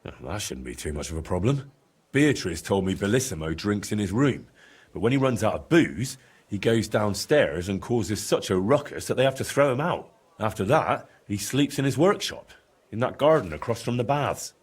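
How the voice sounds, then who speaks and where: An actor playing a practical, sceptical young male adventurer in a video game, down-to-earth, with a husky voice. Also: lowish voice, very animated, moderate pace. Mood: earnest. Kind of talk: video game character dialogue.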